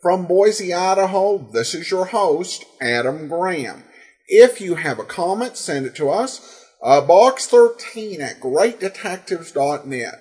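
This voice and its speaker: A man hosting a podcast, giving a relaxed, chatty introduction with many fillers. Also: high-pitched, a little slow. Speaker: podcast host.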